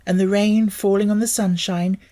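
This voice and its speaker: A woman voicing an earnest, excitable young girl who pleads with breathless, tumbling words. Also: medium pitch, normal speed, pitched above the performer's own voice, close to an everyday voice. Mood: eager, urgent.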